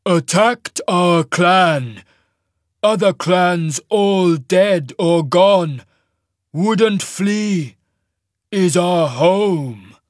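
A man voicing a massive, mournful giant who speaks in halting, broken phrases with a rumbling voice, in a fantasy audiobook. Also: animated, dry recording, clean studio sound, full character voice. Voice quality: deep rumbling voice. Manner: slow, halting, clipped primitive phrases.

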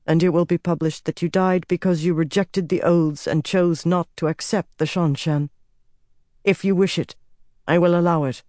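A man reading aloud, voicing a cold, commanding young empress who speaks in measured, haughty sentences. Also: high voice, darkish tone, dry recording, studio quality, pitched above the performer's own voice.

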